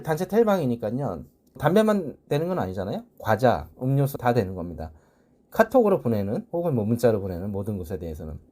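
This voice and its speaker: A man's voice: mid-range voice, darkish tone. A man presenting a casual tutorial, explaining things step by step in polite speech. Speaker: tutorial presenter, salesman who codes.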